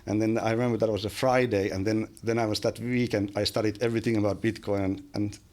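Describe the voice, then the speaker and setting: A man in his forties with a Finnish accent speaks in a lowish register as a podcast guest. He talks with frequent ums and restarts, close to a studio microphone in a small bright room. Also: steady tone, quick pace. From Finland. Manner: hesitant, many fillers and restarts. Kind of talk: casual interview chat. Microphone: studio mic on boom arm.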